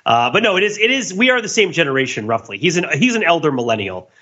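A man's voice: fairly high pitch, big pitch swings, quick pace, bright, forceful tone, lo-fi sound. A man co-hosting a podcast, talking casually and analytically with emphatic enthusiasm about pop culture. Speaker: podcast co-host.

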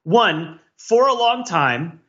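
Male podcast co-host, slightly high and bright, casual but mock-earnest, long rambling analysis, slightly muffled recording. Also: very animated, slow. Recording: slightly dull, lo-fi audio.

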